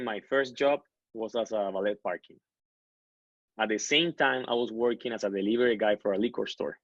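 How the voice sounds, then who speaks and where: A man tells his own story as an interview guest. He speaks earnestly and often stops to restart his sentences. The voice sits in a middle pitch range, and the recording is dull and dry. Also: dry recording. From Colombia. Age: 30s. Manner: earnest, many self-corrections and restarts.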